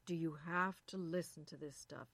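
A woman's voice: deep voice, fairly even intonation, moderate pace, gravelly, a bit bright, no room sound, full character voice. A woman voicing a weary, exasperated husband in a story reading, firm and remonstrating. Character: weary, exasperated husband, reasonable but resentful.

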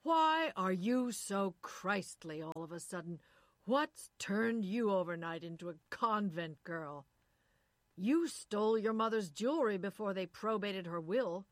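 A woman voicing a bitter, anxious husband in his thirties who lectures and shouts. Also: fairly low pitch, normal speed, no echo, clean studio sound, lightly acted. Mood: shouting. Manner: resentful, lecturing, rising to shouting.

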